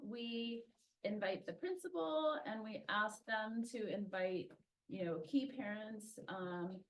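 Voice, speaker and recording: A woman's voice: mid-range voice, low-quality recording. A woman answering questions at a community meeting, thinking aloud with many ums and restarts. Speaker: school traffic safety organizer.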